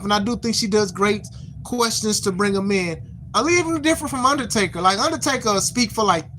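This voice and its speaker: Podcast host, 30s, mid-pitched, casual rambling takes, slangy and repetitive. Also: pushed and bright, slight background noise, rough recording. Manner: rambling, repeats words, slangy. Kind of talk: casual opinion commentary.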